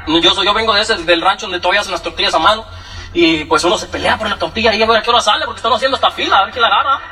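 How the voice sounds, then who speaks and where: Young Mexican-accented man, high bright voice, comic storyteller at a talk, heard from a distance. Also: racing, soft background music. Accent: Mexican Spanish. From Michoacán, Mexico. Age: young adult. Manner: joking, animated, acts out quoted voices. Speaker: speaker giving a testimony.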